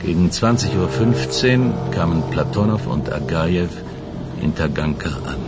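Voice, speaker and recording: An actor playing an earnest, methodical police investigator in a German radio crime drama, speaking deliberately. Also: male voice, sits low, lively intonation. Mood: methodical, grave. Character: Russanow, male police investigator recounting a case, measured and earnest. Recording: studio radio drama with music underneath.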